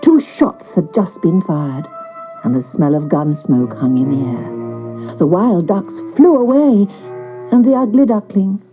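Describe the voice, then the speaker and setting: Lady reading a kids story, really expressive, bit muffled, music under it. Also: on the low side, measured pace. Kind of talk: expressive scripted children's story narration.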